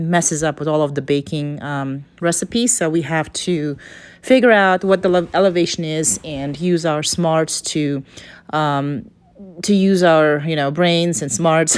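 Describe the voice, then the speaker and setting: A woman's voice: low voice, a little mellow, smooth. A woman from Kazakhstan with a Kazakh accent gives a casual, hesitant, unscripted cooking voice-over, often stopping and restarting. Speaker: home cook presenting a recipe.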